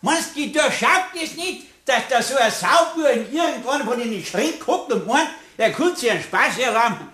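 An actor playing an exasperated, scolding Bavarian customer in a children's radio play. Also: male voice, very high-pitched, expressive, moderate pace, few pauses, pushed and bright, echoey room, heavily acted. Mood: annoyed. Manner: grumbling, threatening scolding.

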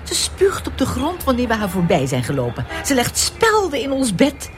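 An actress playing a suspicious, aggrieved older wife who complains and accuses, in a radio play. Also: female voice, fairly high pitch, wildly swooping pitch, moderate pace, faint music behind. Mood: bitter suspicion. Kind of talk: Dutch radio play acting.

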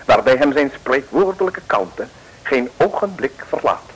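A man's voice: medium pitch, lively intonation, measured pace, husky, poor audio. A man from the Netherlands narrating a vintage comic radio serial in Dutch, scripted, with wry mock-dramatic storytelling. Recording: vintage radio recording, music behind. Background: music.

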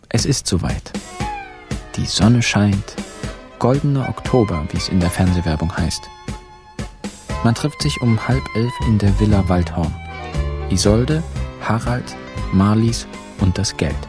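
A wry young man narrating a radio crime comedy in short diary entries. The voice is fairly low but varied in pitch, with music playing underneath. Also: lively intonation, measured pace, pauses often. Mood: wry, clipped.

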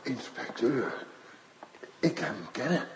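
A man's voice: medium pitch, slow pace, noisy background, close to an everyday voice. Solemn foreigner in broken speech male actor. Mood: solemn, earnest. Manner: broken grammar, solemn formal phrasing. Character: meek, solemn foreign man, earnest and flowery. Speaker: actor in a radio play. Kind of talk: Dutch radio drama acting.

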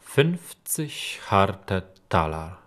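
A man's voice: deep voice, very animated, drawn-out words, a bit bright, exaggerated voice. An actor playing a scheming, persuasive nobleman in disguise in a radio play. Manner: smooth-talking and persuasive. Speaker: Saklika, a nobleman.

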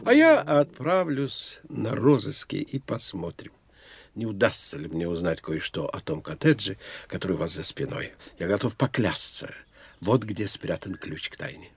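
An actor playing a learned older professor in an audio drama, lecturing in a theatrical, condescending manner. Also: male voice, on the low side, sing-song, normal speed, vocal fry, husky, full character voice. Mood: reassuring, intrigued. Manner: didactic, theatrical, condescending to his young friend. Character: animated, learned older professor investigating psychic phenomena.

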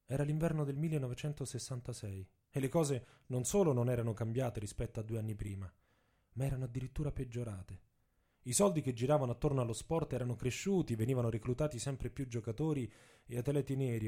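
A man's voice: mid-range voice, dark tone, lightly acted. A man playing a proud, indignant young American activist professor in a dramatic radio monologue, telling his story emphatically. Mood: bitter, measured. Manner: emphatic storytelling in the first person. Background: music with instruments.

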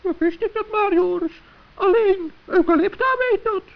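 A very high-voiced little male gnome in a children's radio play. He is earnest, good-hearted and easily flustered. The old recording sounds dull and muffled. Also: moderate pace, vocal fry. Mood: alarmed. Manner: fretful, earnest, stammering when upset.

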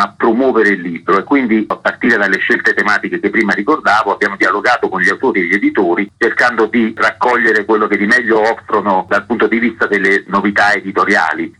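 A man's voice: on the low side, bright, forceful tone, breathy edge, poor audio. A man giving formal, articulate interview answers in long, elaborate sentences, as an artistic director.

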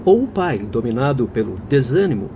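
An actor playing a courteous, earnest doctor and spirit guide in a radio drama, with formal declamatory delivery. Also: male voice, medium pitch, sing-song, normal speed. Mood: earnest, grave. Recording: dull lo-fi audio, clear background noise.

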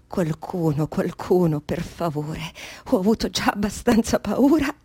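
Radio drama anguished newborn soul, commanding and pleading, raspy breathy delivery with wide swings between whisper and cry. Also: female voice, on the low side, lively intonation, measured pace, mellow, no echo, full character voice. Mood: frightened, pleading. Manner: intense, fragmented, shifting between whispers and cries. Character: anguished unborn girl being born, commanding then pleading. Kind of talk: theatrical radio drama monologue.

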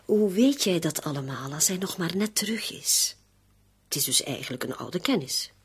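A worldly, streetwise hostess in a radio drama. Her husky, breathy voice swings animatedly in pitch, wry and probing, always a little on guard. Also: expressive, normal speed, full character voice. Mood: probing, doubtful. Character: wary, shrewd, worldly hostess and reluctant accomplice. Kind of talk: Dutch-language radio play acting.

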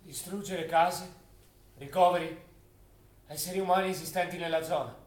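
A male actor playing a solemn chronicler of wartime killings, narrating in a measured documentary theatre style with short phrases. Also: fairly high pitch, steady tone, lightly acted. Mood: stern. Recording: echoey stage room.

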